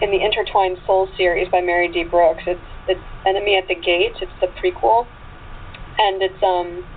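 A woman being interviewed as a guest, chatting casually in an excitable, informal way. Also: mid-range voice. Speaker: interview guest, actress and writer. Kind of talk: casual chat. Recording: tinny phone line with faint noise.

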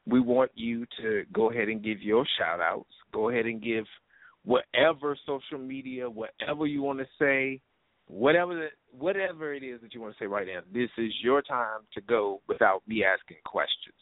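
A man's voice: medium pitch, normal speed, dry recording. A man hosting an interview show in a casual, effusive, informal way, often repeating his phrases. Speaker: radio show host. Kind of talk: casual interview and sign-off shout-outs. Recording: muffled lo-fi internet radio call.

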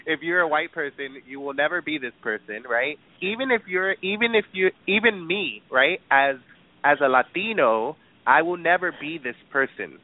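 A man's voice: a little high, lively intonation, moderate pace, no room sound. A man from New York, a guest in a casual discussion, talking animatedly with frequent laughs and fillers. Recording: dry, tinny phone-like line.

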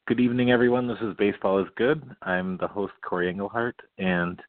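A young man hosting a baseball talk show, eager and halting, with many restarts and nervous laughs. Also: fairly low pitch, fairly even intonation, no echo. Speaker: podcast host. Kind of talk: casual interview. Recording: tinny phone-quality line, dry.

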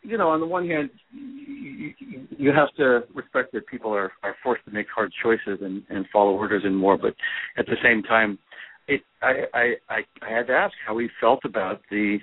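A man who is a poet, giving thoughtful, halting answers in an interview and often restarting his sentences. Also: mid-range voice, breathy edge, dry recording. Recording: dry, narrow phone-line audio.

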